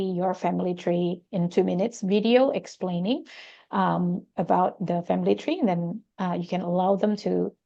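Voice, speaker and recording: Gravelly-voiced woman hosting a webinar. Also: lowish voice, mellow, dull audio. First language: Indonesian. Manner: hesitant, many fillers. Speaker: webinar presenter. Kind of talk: live webinar teaching.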